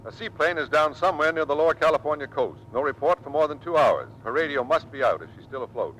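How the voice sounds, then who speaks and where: A tough American fighter squadron leader in an old radio play, with a bright, forceful, slightly high voice. He speaks with earnest military authority over a noisy vintage recording. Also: lively intonation, fast talker. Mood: urgent command. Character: tough, loyal Navy fighter squadron commander. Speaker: actor in radio drama. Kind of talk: old-time American radio drama acting.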